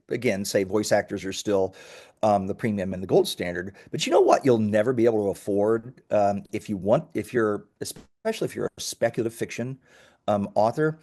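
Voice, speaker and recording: A man with a fairly low voice talks as a guest in an interview. He explains things conversationally, with enthusiasm and emphasis, like a founder describing his product. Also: a little mellow. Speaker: startup founder being interviewed.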